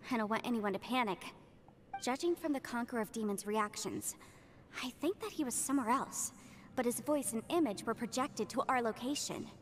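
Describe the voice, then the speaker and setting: An actress playing a composed young woman strategist in a video game, speaking in an earnest, deliberate, analytical way. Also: on the high side, slight background noise, lightly acted. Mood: serious, confiding. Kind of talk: video game cutscene acting.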